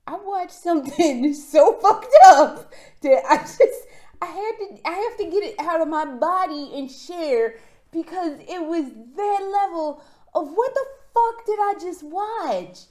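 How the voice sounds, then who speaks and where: A high-voiced woman recapping a strange video game in a casual vlog. She rambles, swears freely, and talks in an excitable rush. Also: unhurried. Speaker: gaming vlogger.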